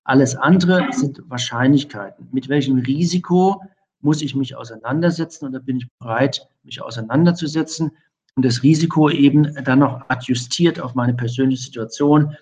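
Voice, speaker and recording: A middle-aged man gives long, carefully built expert answers at an ordinary pitch. His manner is formal and academic. The audio sounds dull and lo-fi, as if the top end were cut off. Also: darkish tone. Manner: measured, long formal sentences with academic vocabulary. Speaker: infection medicine expert guest.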